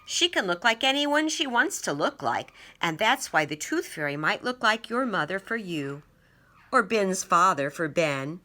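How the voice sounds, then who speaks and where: A woman reading the part of a gentle, reassuring mother in a storybook, explaining things patiently. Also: medium pitch, very animated, unhurried.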